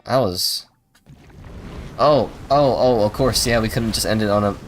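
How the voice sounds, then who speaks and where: A man's voice: fairly low pitch, a little slow. A man livestreaming a game with casual, offhand commentary and muttered reactions. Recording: game music behind the voice.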